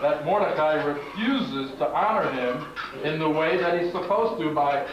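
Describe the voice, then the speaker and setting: A man's voice: a little high, moderate pace, crowd noise. A man narrating a puppet show as scripted storytelling, in a declamatory, folksy way. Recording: very echoey, distant, dull, noisy live recording.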